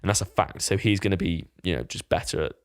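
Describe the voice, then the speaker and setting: A man talks fast on a podcast, his voice sitting on the low side. He chats casually and rambles, dropping swear words as he goes. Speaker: podcast co-host, fitness coach.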